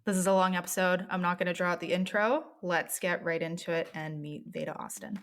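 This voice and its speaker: A woman's voice: on the low side, fairly even intonation, brisk, a bit bright. A woman hosting a podcast, talking in an enthusiastic, chatty way with a lot of gushing. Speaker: podcast host. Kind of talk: casual interview chat.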